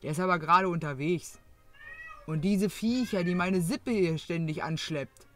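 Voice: medium pitch, moderate pace, no echo, soft background music, close to an everyday voice. A performer playing a coarse, jaded working-class mother, grumbling bluntly in a parody audio drama.

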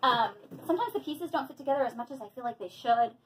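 Woman in her thirties, high lively voice, chatty and excited, home vlog, camera close by. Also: fast talker, slight background noise. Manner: chatty, excited, bubbly, with frequent fillers. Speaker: hobby vlogger. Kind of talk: casual unboxing chat. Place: small room indoors at home.

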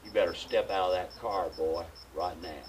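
A man voicing a stern male backwoods state trooper in a slow, menacing drawl. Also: deep voice, fairly even intonation, a little hiss or hum, pitched below the performer's own voice, natural voice.

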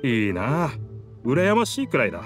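A man voicing an easygoing talking boat in a Japanese anime game, with casual, rough masculine phrasing and a sheepish manner. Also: medium pitch, wildly swooping pitch, moderate pace. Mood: wistful. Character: talking sentient boat, easygoing, excuse-making male. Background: game music.

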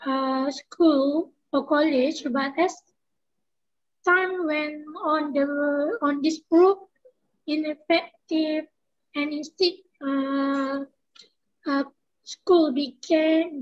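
A young Malaysian woman with a high voice reads her panel answer slowly, stumbling and restarting words. The sound is dull and lo-fi, as from a laptop on a video call. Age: young adult. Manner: halting, with fillers and self-corrections. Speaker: student panelist. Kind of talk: reading a prepared answer. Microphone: laptop microphone on video call.

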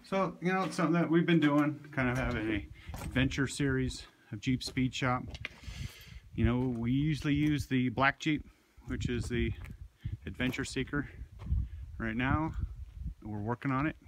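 A man's voice: medium pitch, moderate pace, long pauses, low-quality recording. A man hosting a casual walk-around vlog, talking in a laid-back, rambling, plain-spoken way. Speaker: vlog host. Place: abandoned mine tunnel, then open desert hillside outdoors. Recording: handheld outdoor recording with clear background noise.

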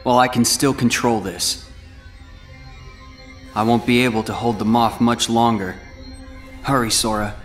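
A man's voice: mid-range voice, steady tone, measured pace, pauses often, faint music behind, lightly acted. A young man playing a brooding, self-sacrificing teenage boy warrior in a game cutscene, grave and restrained. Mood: grave, urgent. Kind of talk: video game cutscene acting.

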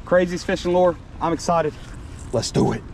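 A man, chatting casually to an action camera while fishing by a lake, excitable and quick to shout. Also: a little high, a little hiss or hum. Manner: excitable, talks while tying lures, quick bursts of shouting. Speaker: fishing vlogger. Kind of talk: casual outdoor chat to camera. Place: outdoors by a lake.

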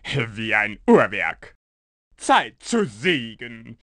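Pompous mad scientist game villain, gloating, super swoopy pitch, draws words out slow. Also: male voice, very animated, fairly bright tone, heavily acted. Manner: pompous, theatrical, drawn-out words. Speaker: video game voice actor. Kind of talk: theatrical video game character voice clips.

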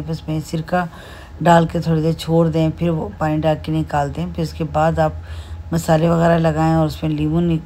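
Warm, low-voiced Pakistani home cook. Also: female voice, a little hiss or hum. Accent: Pakistani Urdu. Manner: unhurried, homely explaining with many fillers. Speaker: cooking channel host. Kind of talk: home cooking tutorial voice-over. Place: home kitchen.

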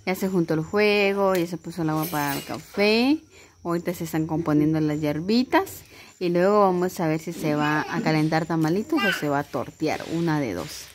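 A woman's voice: sits low, moderate pace. A Guatemalan woman hosting a casual home cooking show, explaining each step in a friendly way and often adding a questioning tag at the end. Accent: Guatemalan Spanish. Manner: friendly explaining, many rhetorical "¿verdad?" tags. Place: home kitchen. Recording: loud sizzling and kitchen noise.

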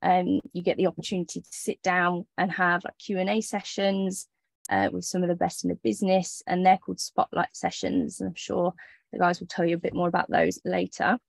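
Lowish-voiced woman presenting a programme. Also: little pitch movement, a little fast, vocal fry, dry recording. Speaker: programme coordinator. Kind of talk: presenting an overview on a video call. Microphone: video call.

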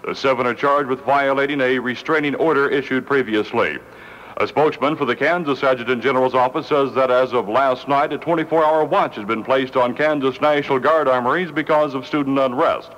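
A man's voice: medium pitch, brisk, fairly bright tone. American male radio reporter, 1970 scripted bulletin; mid voice, faint noise on the recording. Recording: muffled, noisy old radio broadcast.

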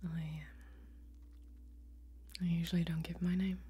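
A woman's voice: lowish voice, level tone. Whispering, guarded warrior ranger woman acted by a woman. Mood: guarded, solemn. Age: young adult. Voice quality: soft, breathy whisper. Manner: slow, deliberate, intimate. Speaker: ASMR role-play performer. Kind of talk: soft-spoken whispered fantasy ASMR role-play.

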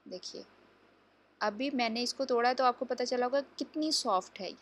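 A woman's voice: fairly high pitch, moderate pace, a bit bright. A woman hosting a home cooking tutorial, explaining each step to viewers in a chatty, instructive way. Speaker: cooking channel host. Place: home kitchen.

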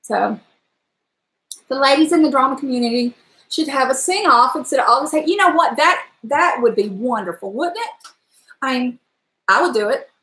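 A middle-aged woman talks to her live-stream viewers in a chatty, animated way, and her voice sits a little high. She has a powerful, trained singer's voice. The sound comes from a webcam in a home kitchen, where the room adds a noticeable echo. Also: normal speed, long pauses. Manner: chatty and animated, gesturing, breaks into song. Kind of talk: casual live-stream chat. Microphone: webcam at desk distance.

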